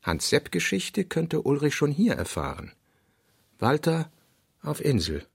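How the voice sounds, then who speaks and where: A man with a husky voice reading out terse working notes in a scripted radio production. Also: a little high, wildly swooping pitch, a little slow. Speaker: reader of author's working notes.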